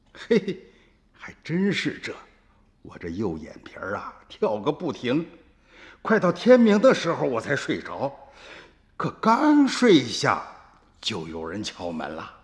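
A folksy, animated old farmer storyteller. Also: male voice, medium pitch, heavily acted. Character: worried, earthy old farmer recounting his past to family. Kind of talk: radio drama narration and acting.

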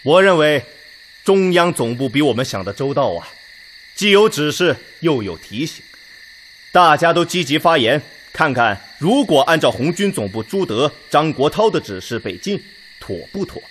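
Animated army leader chairing talk. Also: male voice, a little high, moderate pace, a little hiss or hum. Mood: authoritative, inviting. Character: authoritative Red Army leader chairing a meeting. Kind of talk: Chinese radio drama acting.

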